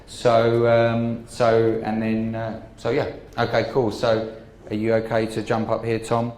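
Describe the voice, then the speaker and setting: A man's voice: on the low side, completely flat delivery, unhurried, darkish tone. A man hosting a community meetup, introducing speakers in a hesitant way full of ums and so-yeahs. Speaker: meetup host. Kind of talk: casual event hosting. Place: meetup venue with audience. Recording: echoey room with crowd.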